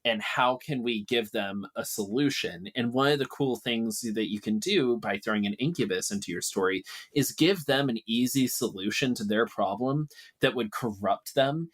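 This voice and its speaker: Male, medium pitch, podcast guest, casual, emphatic delivery. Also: moderate pace, fairly bright tone.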